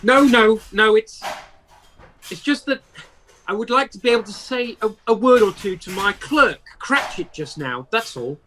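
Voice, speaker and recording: A man's voice: sits high, expressive, moderate pace, sharp tone, slight background noise, rough recording. A man playing a remorseful old miser, hesitant and pleading, in an amateur radio play. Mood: hesitant, regretful. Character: Ebenezer Scrooge, remorseful, anguished old miser.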